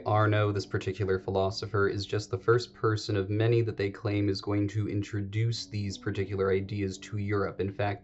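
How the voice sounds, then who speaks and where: A man's voice: fairly low pitch, darkish tone, muffled recording. A man hosting an educational podcast, talking through history in a chatty, digressive way and correcting himself as he goes. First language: English. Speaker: podcast host. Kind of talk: casual educational monologue.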